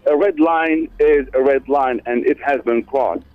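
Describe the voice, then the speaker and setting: A man calling in to a talk radio show, speaking haltingly with frequent fillers and repeated words. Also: mid-range voice, no echo. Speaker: caller. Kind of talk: radio call-in conversation. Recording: thin lo-fi phone line.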